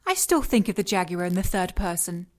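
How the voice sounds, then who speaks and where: A woman's voice: on the low side, very animated, a little fast, darkish tone. A woman acting a cold, condescending scientist villain. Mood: clinical. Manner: composed, clinical, condescending. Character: cold, obsessed female scientist and jaguar shapeshifter villain. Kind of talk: audio drama dialogue.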